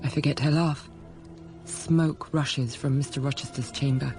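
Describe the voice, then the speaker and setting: An actress playing an introspective young governess narrating her own story, hushed, intimate and deliberate, in a radio drama. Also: rumbling low, steady tone, faint music behind. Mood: tense. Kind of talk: dramatised first-person narration.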